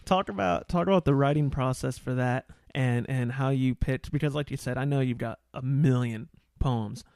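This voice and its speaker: A man's voice: medium pitch, normal speed, a little mellow, no room sound, studio quality. A man hosting a podcast interview in a casual, encouraging, conversational way. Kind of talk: casual, supportive interview chat.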